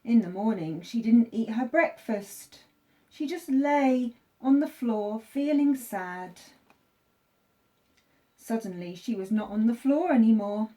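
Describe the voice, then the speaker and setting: A woman teacher reading a children's story aloud, with expressive, deliberate phrasing for young listeners. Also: fairly high pitch.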